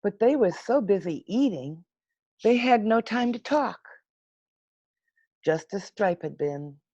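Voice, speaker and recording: A woman reading a children's storybook aloud in an expressive, unhurried manner with dramatic pauses, over a video call. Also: medium pitch, no echo. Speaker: storybook reader. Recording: muffled, lo-fi video call audio.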